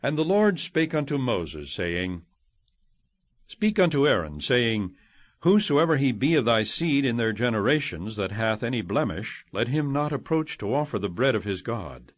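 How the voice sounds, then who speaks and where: A man reading scripture as an audiobook narrator, solemn and measured, declaiming each phrase and letting it fall at the end. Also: medium pitch, big pitch swings, no echo. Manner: measured, declamatory, phrases ending on falling cadences.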